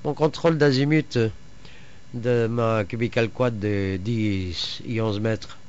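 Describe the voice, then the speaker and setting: A man chatting casually as an amateur radio operator, explaining technical things in a rambling, halting way with trailing asides. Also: fairly low pitch, steady tone, a little mellow. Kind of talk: casual radio contact chat about home-built antennas. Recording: dull lo-fi radio audio, faint noise.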